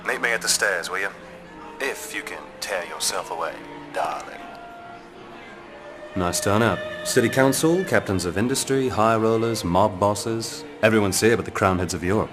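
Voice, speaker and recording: A man's voice: fairly low pitch, normal speed, lightly acted. A man voicing a wry, flirty field handler and sidekick in a video game, with a dry, casual delivery. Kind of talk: video game cutscene acting. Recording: music behind the voice.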